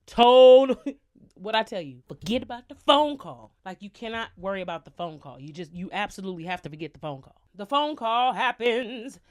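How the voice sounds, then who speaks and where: A young American woman telling stories casually to her viewers, chatty and animated, full of slang and asides. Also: medium pitch, normal speed. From United States. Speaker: vlogger, singer. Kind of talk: casual storytelling to camera.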